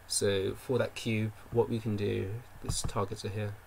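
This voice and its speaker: A man's voice: fairly low pitch, flat delivery, a little slow, vocal fry, heavy background noise. A man developing a game on stream, thinking aloud as he works, muttering to himself and constantly correcting and second-guessing himself. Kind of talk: thinking aloud while working.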